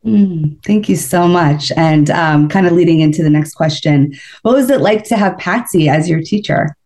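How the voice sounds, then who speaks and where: A woman hosting an interview podcast, reading a scripted intro and then gently prompting her guests with questions. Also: sits low, moderate pace. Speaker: podcast host.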